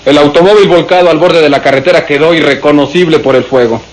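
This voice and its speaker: An actor playing a dutiful police assistant in a radio drama, reporting respectfully and plainly. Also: male voice, fairly high pitch, fast talker, lightly acted. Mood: earnest, reporting.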